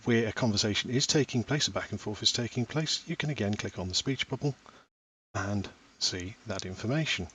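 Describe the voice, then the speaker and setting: A man, a parent, walking through a website step by step in a screen-recorded tutorial, pausing with the odd "uh" as he explains. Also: fairly low pitch, a bit bright, slightly rough. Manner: plain step-by-step explaining with frequent "uh" pauses. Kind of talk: screen-recorded software walkthrough.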